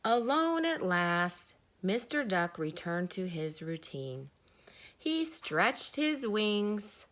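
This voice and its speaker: A woman, a teacher, reading a children's story aloud with deliberate dramatic pauses. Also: mid-range voice, sing-song, a bit bright.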